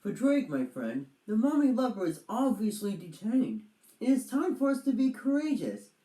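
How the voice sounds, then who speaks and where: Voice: mid-range voice, wildly swooping pitch, normal speed, mellow, echoey room, pitched above the performer's own voice, poor audio. A storybook reader voicing a brave, pompous young boy adventurer who speaks in a proper, earnest way. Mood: resolute.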